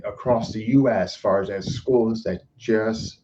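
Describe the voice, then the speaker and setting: A man hosting a podcast in a casual discussion, agreeable and quick to chime in with affirmations. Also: fairly low pitch, warm tone. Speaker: podcast host. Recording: dull muffled audio with faint noise.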